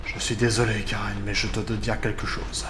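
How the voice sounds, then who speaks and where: A man's voice: lowish voice, flat delivery, background noise, lightly acted. Man playing a frail old professor, echoey. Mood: solemn, regretful. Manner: halting, weary, emotional. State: weak, breathless, coughing. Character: frail, regretful old male professor, gravely ill. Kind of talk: French sci-fi audio drama acting. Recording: roomy echo, loud background music.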